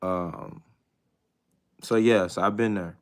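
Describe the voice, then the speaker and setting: A man in his thirties hosting a casual live chat with viewers, talking in a hesitant, reflective way with many pauses and restarts. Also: deep voice, fairly even intonation. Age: 30s. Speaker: livestream host.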